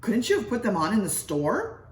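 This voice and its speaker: A woman voicing a bossy, impatient, nagging wife in a story, shrill and scolding. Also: huge pitch swings, fairly quick, darkish tone. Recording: echoey room, distant mic, noise.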